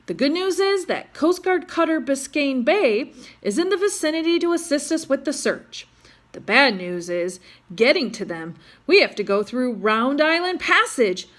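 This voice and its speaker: Woman playing a bold, high-voiced male lifeboat captain. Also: very animated, normal speed. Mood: grave briefing. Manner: commanding, shouted orders.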